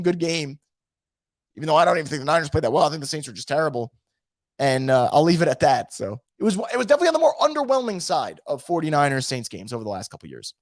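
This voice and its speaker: Hoarse, animated man ranting about football. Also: a little high, no echo, studio quality. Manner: animated, frustrated, swearing. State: losing his voice, feeling sick. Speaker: sports podcast host. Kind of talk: casual live-stream recap reading chat.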